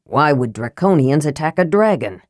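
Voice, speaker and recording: A woman's voice: low-pitched, lively intonation, moderate pace, no room sound, clean studio sound, pitched below the performer's own voice. A woman voicing a stern, earnest male knight in an audiobook, terse and formal. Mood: impatient. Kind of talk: audiobook character acting.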